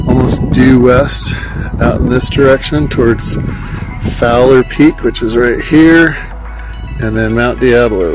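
A man's voice: medium pitch, rough recording. A man narrating a casual outdoor vlog, informally thinking aloud as he explains his radio hobby, recorded outdoors on a handheld phone. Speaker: amateur radio hobbyist filming his outing. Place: outdoors on an open hillside at dusk. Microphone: handheld phone held by the speaker.

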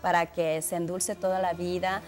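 A woman in her thirties, born in China, speaking carefully and somewhat haltingly as a guest in a TV studio interview. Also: fairly low pitch, little pitch movement, soft background music. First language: Chinese. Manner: careful, slightly halting non-native speech. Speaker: community guest. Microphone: handheld mic held by the host.

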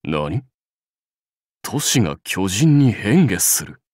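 A man's voice: lowish voice, very animated, slow, darkish tone, dry recording, studio quality, exaggerated voice. A man voicing a proud, seasoned samurai warlord in a mobile game, speaking in a dignified, archaic lordly manner. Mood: measured, bemused. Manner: stately, archaic lordly speech. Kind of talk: Japanese mobile game character voice lines.

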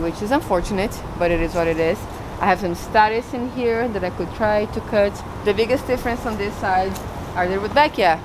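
Young woman, casual garden tour vlog, outdoors in a city, traffic and air-conditioner hum behind. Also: medium pitch. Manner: chatty, walks and points at plants while talking. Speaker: gardening vlogger. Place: outdoor urban community garden plot. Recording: outdoor street noise behind.

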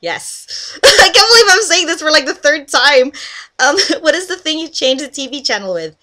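A giggly young woman from Canada talks into a headset mic in a small bedroom, bright and a touch high in tone. She chats loosely through a word list, laughing and breaking off to tell friends to hush. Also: lively intonation, moderate pace. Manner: giggly, chatty, breaks off to scold friends on a call. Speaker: vlogger doing an accent meme. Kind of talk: casual webcam vlog. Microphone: headset microphone.

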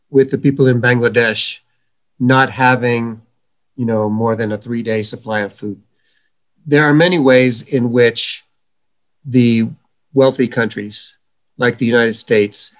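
A middle-aged man, an environmental educator, giving measured, earnest answers in a video call interview. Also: mid-range voice. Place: home room.

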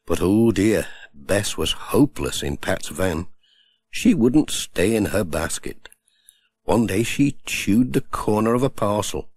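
A man reading a children's storybook aloud with gentle, expressive storytelling. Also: on the low side, no room sound. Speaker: audiobook narrator.

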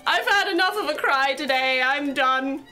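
A woman voicing a weepy, melodramatic young maiden puppet with overwrought, drawn-out wailing in an improvised comedy show. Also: sits high. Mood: pleading. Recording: loud music under the voice. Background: music.

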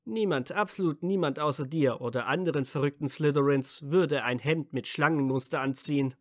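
A man's voice: a little high, normal speed, dry recording, natural voice. Guy acting as young wizard hero, sincere n playful, very dry recording, audio drama. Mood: playful banter.

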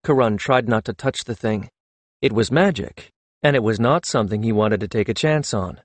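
A man's voice: lowish voice, expressive, moderate pace, dry recording. A man reading a fantasy novel aloud as an audiobook narrator.

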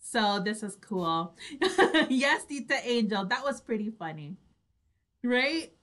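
A high, bright-voiced woman co-hosts a live online show. She chats casually at an unhurried pace, bubbly and quick to giggle. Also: animated. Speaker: online radio show co-host.

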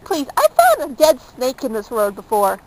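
High-voiced chatty young woman vlogging. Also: wildly swooping pitch, moderate pace, poor audio. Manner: chatty, jokey, self-mocking. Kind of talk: casual chat while walking. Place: outdoors on a small-town street, later indoors in a wood-paneled room. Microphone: handheld camera held close.

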